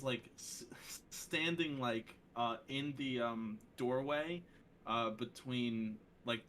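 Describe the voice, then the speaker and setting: Male, mid-range voice, halting casual storyteller, faint noise behind him. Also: mid-range voice, fairly bright tone.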